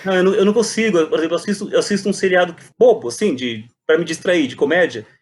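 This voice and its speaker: A man's voice: high voice, rapid-fire, slight background noise. A man, telling his story reflectively and animatedly in a conversational interview. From Brazil. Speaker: guest, playwright and poet.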